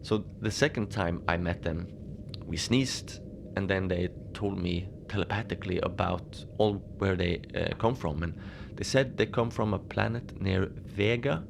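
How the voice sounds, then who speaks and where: A man playing a mild, unbothered middle-aged station manager in a cozy audio drama, confiding in a halting, rambling, understated way. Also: fairly low pitch, gravelly, background noise. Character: mild, unbothered lone Antarctic night-shift station manager. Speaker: podcast fiction narrator, lone facility manager on radio.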